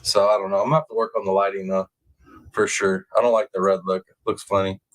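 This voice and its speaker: A man's voice: sits low, little pitch movement, fast, low-quality recording. A man hosting a livestream, chatting casually and in slangy, informal banter with his viewers. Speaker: livestream host. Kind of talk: casual live chat commentary.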